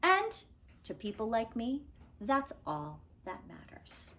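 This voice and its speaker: A woman teacher giving an expressive children's read-aloud and explaining things to young listeners. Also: a little high, takes it slowly, slight background noise. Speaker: teacher reading a picture book aloud.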